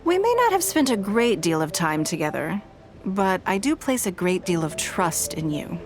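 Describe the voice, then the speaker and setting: A woman voicing a poised, authoritative noblewoman in a video game, speaking in a formal, measured, composed manner. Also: medium pitch, big pitch swings, close to an everyday voice. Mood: composed, gracious. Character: poised, authoritative noblewoman leader employing secretaries. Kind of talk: scripted video game dialogue. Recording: background music behind voice.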